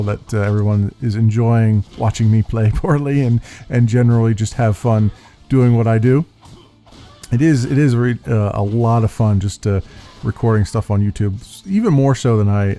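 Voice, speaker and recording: A man with a deep voice hosting a gaming channel, rambling through a casual update with many ums and restarts into a desktop mic. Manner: halting, full of uhs and restarts. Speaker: YouTube gaming channel host. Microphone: USB desktop condenser mic. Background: soft background music.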